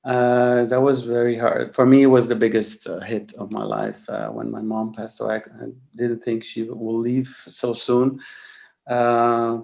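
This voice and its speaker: A man in his forties from Lebanon, a podcast guest telling his story in a halting way, with many fillers and restarts. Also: mid-range voice, monotone, creaky, darkish tone. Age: 40s. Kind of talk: casual interview talk.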